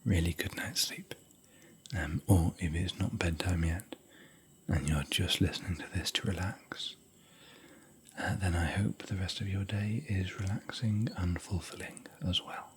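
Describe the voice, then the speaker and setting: Man, soft ASMR whisper, very low voice, rambling chat, faint hiss behind. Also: steady tone, unhurried. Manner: rambling, chatty, gentle. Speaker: ASMR hotel room tour host. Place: hotel room.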